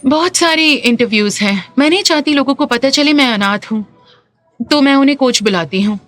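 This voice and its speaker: A woman's voice: high-pitched, expressive, moderate pace, fairly bright tone. A woman voice actor dubbing a stubborn young female athlete in a drama. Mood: earnest. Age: young adult. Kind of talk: dubbed drama dialogue.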